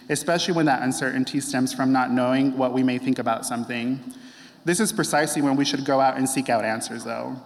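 A young man giving an earnest, heartfelt prepared speech in a measured way. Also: mid-range voice. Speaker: speaker. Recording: long hall reverb.

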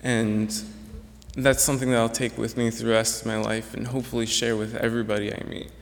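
A man's voice: mid-range voice, level tone, brisk, gravelly. Young male student speaker, earnest and reflective, mid-range voice, long hall reverb. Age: young adult. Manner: earnest, reflective, with some restarts. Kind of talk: prepared speech to an audience.

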